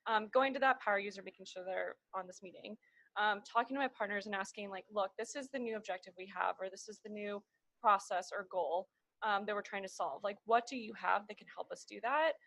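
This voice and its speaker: A woman's voice: mid-range voice, fairly quick, a bit bright, no room sound. A woman speaking as a marketing panelist, giving advice in a casual professional discussion.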